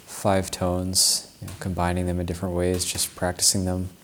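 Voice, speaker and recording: Deep, flat-voiced male monk. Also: a little hiss or hum. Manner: reflective and unhurried, with frequent restarts. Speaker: Buddhist monk. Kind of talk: Dharma talk with personal anecdote.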